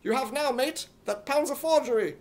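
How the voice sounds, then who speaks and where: A man voicing an excitable, gullible, indignant comic hero in a loud, exclamatory, put-on British accent, in 1950s radio comedy style. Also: very high voice, moderate pace. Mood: brisk, bold. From United States.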